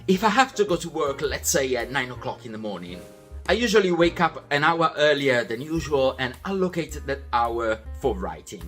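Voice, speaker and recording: Man, young adult, animated, friendly and methodical, scripted vlog voiceover. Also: fairly high pitch. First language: Italian. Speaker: vlog host.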